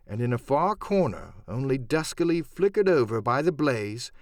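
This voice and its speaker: Male narrator for adventure audiobook: dramatic literary delivery, mid-range pitch, lively melody, very dry recording. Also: dry recording. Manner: expressive, storytelling.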